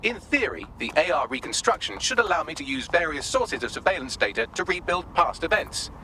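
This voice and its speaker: Sardonic high-pitched AI assistant, very animated. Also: male voice, fairly quick, a little hiss or hum, exaggerated voice. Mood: droll, explaining. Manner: dry sarcasm, breezy and wordy. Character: witty, sardonic male AI hacker assistant. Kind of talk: scripted video game dialogue.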